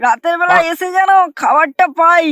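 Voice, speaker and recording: A man voicing an angry, suspicious old village husband in a crude comedy cartoon, scolding and foul-mouthed through a pitch-raising effect. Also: a little fast, creaky, a little hiss or hum, heavily acted. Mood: angry, accusing. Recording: loud music over the voice.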